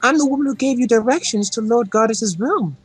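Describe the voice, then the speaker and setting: A woman's voice: on the high side, a little fast, slightly rough, faint music behind. A woman voicing a flirtatious, gleeful half-demon castle maid in a fan-dubbed fantasy game, coy and gushing.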